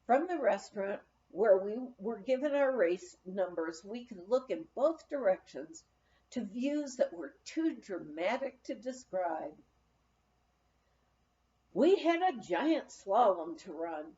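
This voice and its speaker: Female audiobook reader for a memoir: animated intonation, slow expressive delivery, slightly muffled sound. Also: medium pitch. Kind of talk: scripted narration of a humorous memoir, read aloud.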